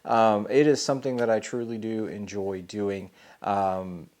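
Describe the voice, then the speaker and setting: A man's voice: on the low side, fairly even intonation, measured pace, darkish tone. Rambling man podcasting solo. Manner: thinking aloud, many fillers and restarts. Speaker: podcast host; programming teacher.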